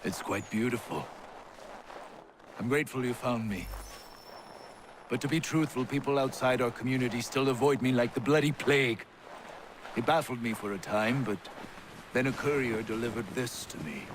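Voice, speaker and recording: A man's voice: mid-range voice, normal speed, long pauses, close to an everyday voice. A man playing a frank, aggrieved colonial doctor in a video game, articulate and earnest. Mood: earnest, troubled. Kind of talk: video game dramatic acting. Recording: loud ambient noise behind voice.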